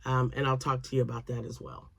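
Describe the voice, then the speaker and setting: A woman's voice: very deep voice, flat delivery, quick pace, creaky, fairly bright tone, breathy edge, no echo. A woman in her later years, a crochet vlogger chatting casually to camera, a little nasal and froggy from sinus drainage, rambling with fillers. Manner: chatty and rambling, many fillers, laughs often.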